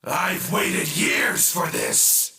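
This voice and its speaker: A distant, heavily echoing game villain, high-pitched and gravelly. He is menacing and theatrical, with an exaggerated, exclamatory delivery meant for taunting prey. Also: steady tone, very slow, bright, forceful tone, full character voice. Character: menacing, gleeful male villain chasing his prey, comically achy. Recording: echoey hall reverb, distant from mic.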